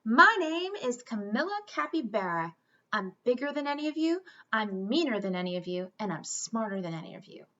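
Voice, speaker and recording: A woman's voice: on the high side, big pitch swings, normal speed, highs cut off. A woman voicing a big, mean, boastful young girl capybara in a storybook, speaking as a brash show-off. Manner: loud, brash, interrupting show-off.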